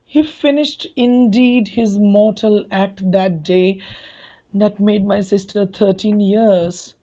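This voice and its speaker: A performer playing a tender, wondering young gentleman, a long-lost twin brother, in a dramatic Shakespeare reading. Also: mid-range voice, steady tone, takes it slowly, lightly acted. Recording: dull lo-fi audio.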